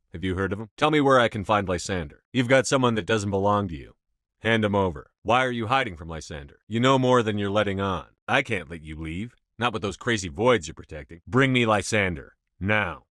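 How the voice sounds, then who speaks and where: An actor playing a resolute, no-nonsense military commander in a science fiction video game, with firm, clipped, commanding delivery. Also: male voice, on the low side, a bit bright, dry recording, clean studio sound. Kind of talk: video game voice acting, scripted dialogue lines.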